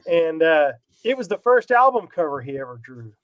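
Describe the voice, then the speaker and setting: A man's voice: a little high, very animated, moderate pace, highs cut off. A man hosting a casual talk show while he has a cold, with a laid-back, loose delivery. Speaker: podcast host. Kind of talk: casual chat.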